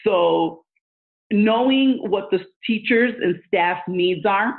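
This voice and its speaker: A woman's voice: medium pitch, slow pace, creaky. Woman on a tinny phone line. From United States. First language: Spanish. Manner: earnest, thoughtful, many fillers. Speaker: guest, education leader. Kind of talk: casual interview answers.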